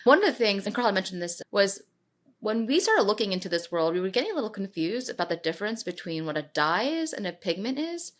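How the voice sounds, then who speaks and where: A woman with a mid-range voice co-hosts a podcast in a lively, enthusiastic way. She chats with the guest and asks questions. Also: mid-range voice, a little fast. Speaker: podcast co-host. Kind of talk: podcast hosting.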